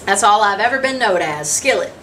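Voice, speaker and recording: A woman's voice: medium pitch, animated, brisk, pushed and bright. A woman acts out a humble, hungry drifter in a storybook reading. He tells his hard life plainly, in a folksy rural dialect. The sound is a little distant, with faint noise behind. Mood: wry, eager. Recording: distant mic, noisy room sound.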